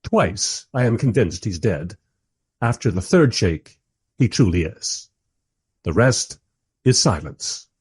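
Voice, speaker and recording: A man plays a wry observer narrating a radio play. His voice is husky and mid-pitched, moving in lively swoops through dry, literary storytelling. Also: takes it slowly, pauses often, exaggerated voice. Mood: dryly amused. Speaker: first-person narrator.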